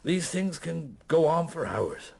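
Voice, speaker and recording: An actor in a radio drama playing a shrewd, avuncular middle-aged detective inspector who speaks in a courteous, ruminative, hesitant way. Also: male voice, on the high side, sing-song, slow pace, gravelly, darkish tone, husky, exaggerated voice. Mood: amused, relaxed.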